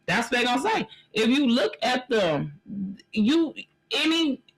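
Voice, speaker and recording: A woman's voice: high voice, sing-song, unhurried, slightly rough, rough recording. A woman in her forties giving blunt, emphatic commentary on a live stream, speaking straight to the viewer as "sis". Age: 40s. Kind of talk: casual commentary on a news story.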